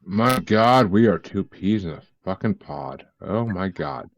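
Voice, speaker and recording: Lower-voiced man hosting, swearing and joking. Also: expressive, a little slow, no echo. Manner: swears a lot, joking and laughing. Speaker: podcast host. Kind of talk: casual podcast chat. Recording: dull, lo-fi, dry sound.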